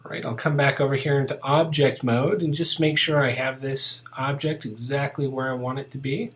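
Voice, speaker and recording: Man narrating a software tutorial, casual and methodical. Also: mid-range voice, steady tone, moderate pace. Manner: casual, methodical, thinking aloud. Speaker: 3D modeling tutorial instructor.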